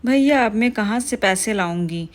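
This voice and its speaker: A woman voicing a poor, kind mother bird who pleads softly and gently. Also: mid-range voice, expressive, normal speed, natural voice. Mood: pleading. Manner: pleading, soft.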